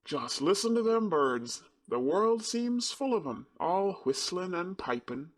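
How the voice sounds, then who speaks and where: A man's voice: sits high, very animated, unhurried, voice pushed up, heavily acted. A man voicing a cheerful Yorkshire country boy who speaks in broad dialect. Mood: eager. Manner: broad dialect, eager and warm.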